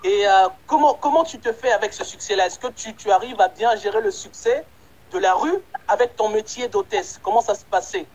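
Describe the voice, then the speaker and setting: An interview host with a mid-pitched voice. The host keeps the chat casual, probing the guest and recapping each answer before moving on. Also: lively intonation, fairly quick, darkish tone, poor audio.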